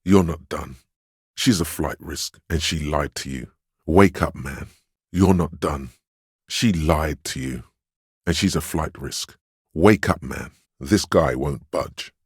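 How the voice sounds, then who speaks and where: A man voicing a stern, steadfast, protective inner voice of willpower in a video game, speaking in a measured, commanding, admonishing way. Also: no echo, clean studio sound, full character voice. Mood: urgent warning. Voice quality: very deep, smooth, resonant. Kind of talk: dramatic second-person game narration.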